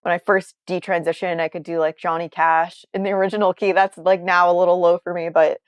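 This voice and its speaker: Young adult woman, lowish voice, interview guest, candid, earnest, meandering, dry close recording. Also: little pitch movement, brisk, clear, resonant tone, no echo, highs cut off. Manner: meandering, earnest, often circles back mid-sentence.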